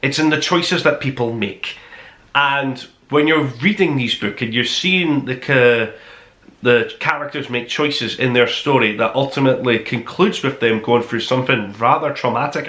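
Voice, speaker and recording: A man's voice: mid-range voice, a bit bright. A man hosting a book review, talking casually to camera in an emphatic, rambling way. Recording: roomy, echoey sound.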